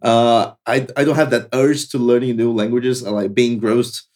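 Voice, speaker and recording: A man's voice: medium pitch, normal speed. A young man from Brazil with a Brazilian Portuguese accent, a native Portuguese speaker chatting casually as a podcast guest and leaning on "like" and "you know". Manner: relaxed, leans on "like" and "you know" fillers. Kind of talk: casual chat. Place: travelling in Morocco, talking over a call.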